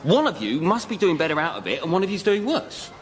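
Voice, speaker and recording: An actor playing a baffled, nagging ordinary bloke in a radio comedy sketch, matey, halting and repetitive. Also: male voice, fairly high pitch, very animated. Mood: baffled, insistent. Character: baffled, nagging ordinary bloke questioning his rich best mate.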